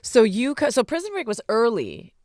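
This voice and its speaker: A woman's voice: on the high side, huge pitch swings, moderate pace, a little mellow. A woman who grew up in Hawaii, co-hosting a podcast and chatting in an engaged way with frequent affirmations. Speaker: podcast co-host. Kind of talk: casual podcast interview.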